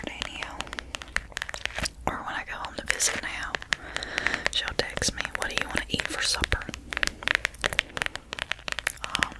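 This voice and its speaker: A young woman with a Southern US accent, softly whispering repeated trigger words in an ASMR video. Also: poor audio. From Southern United States. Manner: slow, repetitive trigger words with nail tapping. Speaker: ASMR creator.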